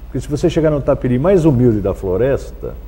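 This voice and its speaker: A middle-aged Brazilian man talking as an interview guest, conversational and earnest, somewhat halting as he goes. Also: mid-range voice, animated, dark tone, slightly rough. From São Paulo, Brazil.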